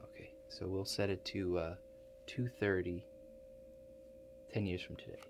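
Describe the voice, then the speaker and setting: A low male voice , a man in character, plays an awkward tinkerer running a future-viewing contraption in an ASMR roleplay. He speaks softly and haltingly, with understated, mumbled asides and long hesitations. Faint noise sits behind the voice. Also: flat delivery. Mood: absorbed, tentative. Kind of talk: soft-spoken ASMR roleplay.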